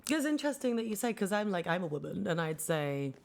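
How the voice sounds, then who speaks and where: A woman's voice: medium pitch, very animated, fast, vocal fry, darkish tone. A young woman hosting a casual podcast chat, talking loosely and trailing off mid-thought with fillers. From England. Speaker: podcast host.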